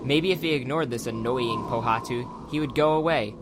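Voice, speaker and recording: A man's voice: medium pitch, normal speed, noisy background. A man reading scripted audiobook narration as the narrator of a dramatized story. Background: background music.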